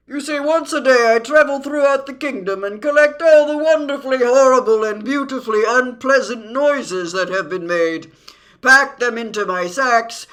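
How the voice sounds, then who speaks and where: A narrator voicing an overwrought male creature made of noise from a storybook, loud and wailing, swinging between anguish and glee. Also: medium pitch, pitched above the performer's own voice, natural voice. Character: sobbing, giddy noise-loving creature made of din, male.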